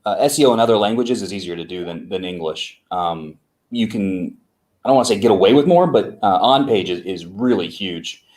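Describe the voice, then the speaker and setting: A man co-founder of an agency, answering interview questions in an unhurried way with many fillers and restarts. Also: low-pitched, a little mellow.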